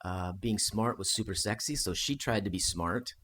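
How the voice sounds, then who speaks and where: A man's voice: lowish voice, normal speed, a bit bright, slight background noise. An American man co-hosting a podcast, chatting casually about history and rambling with fillers and restarts. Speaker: podcast co-host.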